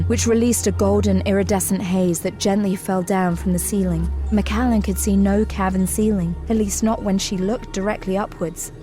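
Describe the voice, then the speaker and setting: An actress narrating a sci-fi audio drama as its storyteller. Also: female voice, mid-range voice, lightly acted. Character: female storyteller of a sci-fi adventure. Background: music.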